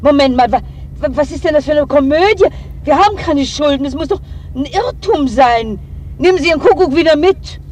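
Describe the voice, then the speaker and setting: An indignant businesswoman in a radio drama. Her voice is high and swoops widely as she protests in agitation. Cars and street noise run clearly behind her. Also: lively intonation, a little fast, a little mellow. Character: indignant, excitable businesswoman confronting a bailiff. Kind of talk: German radio drama acting.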